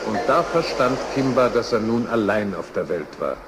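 A man's voice: lowish voice, lively intonation, moderate pace, over music, lightly acted. A man playing a wry storyteller, narrating a children's radio play in a dramatic, scripted manner. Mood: wry, explanatory. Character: wry, unhurried male storyteller.

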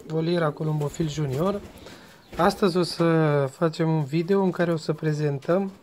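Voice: medium pitch, darkish tone. A speaker, casually narrating a show-and-tell vlog as a pigeon breeder, hesitant and often repeating phrases. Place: pigeon aviary. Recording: noisy, cooing pigeons around. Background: birds cooing, clear ambient noise.

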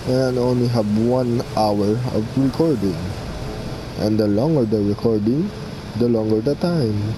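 A young man giving casual gameplay commentary, halting as he muses aloud, close to the mic. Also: medium pitch. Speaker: gaming video host. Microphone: mic placed right in front. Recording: steady background hum and noise.

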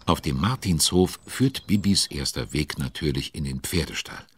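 A man's voice: animated, moderate pace, a little hiss or hum. A man narrating a children's audio drama as a chatty storyteller, playful and addressing listeners directly. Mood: playful storytelling. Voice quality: very deep, husky.

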